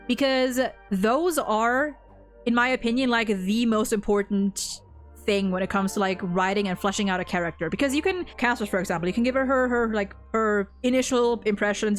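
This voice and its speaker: A woman with a fairly high voice hosts a casual game discussion. She rambles, doubles back on her words and swears offhandedly. Music plays behind her. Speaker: host.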